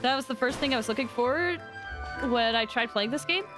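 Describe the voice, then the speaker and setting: A woman's voice: on the high side, a little fast. A woman streaming a game and chatting casually with viewers in an informal, rambling way. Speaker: live streamer playing a game. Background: game music.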